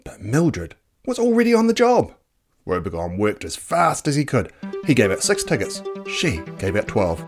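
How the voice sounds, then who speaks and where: A storybook narrator with a mid-pitched voice and hugely varied intonation. The delivery is unhurried, playful and dramatic, over background music. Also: very animated. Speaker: children's book narrator. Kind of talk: expressive storybook read-aloud.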